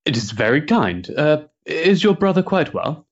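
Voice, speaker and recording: An actor playing a courteous, wry Victorian gentleman in a period stage drama, speaking formally and politely. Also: a little high, very animated, measured pace, dull audio. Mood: polite, pointed.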